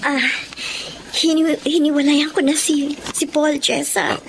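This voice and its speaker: An actress playing a remorseful estranged wife with a pleading, hesitant, emotional delivery in a Tagalog radio drama. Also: female voice, high voice, level tone, unhurried, creaky, background noise.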